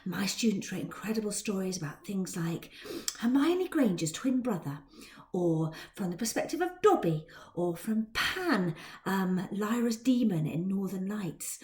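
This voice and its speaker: Animated, high-voiced woman teacher in roomy space. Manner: dramatic, expressive storytelling. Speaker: teacher and author. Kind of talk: animated talk and story reading for students. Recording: roomy indoor echo.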